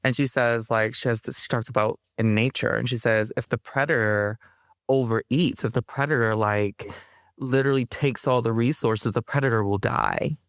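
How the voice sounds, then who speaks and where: A woman who is a poet and interview guest, talking in an emphatic, expressive way. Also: extremely low pitch, moderate pace, no room sound, studio quality. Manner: emphatic, laughs often while talking. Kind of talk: conversational interview, reciting own poem.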